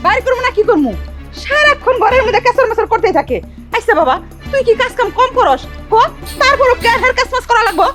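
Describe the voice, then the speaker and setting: Woman as a scornful village mother-in-law, very high, lively, brisk. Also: over music. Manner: mocking, dismissive.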